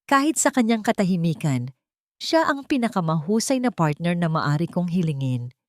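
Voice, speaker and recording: Expressive lower-pitched Filipino woman narrating drama. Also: moderate pace, dry recording, studio quality. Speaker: audiobook narrator. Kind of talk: scripted dramatic first-person story narration.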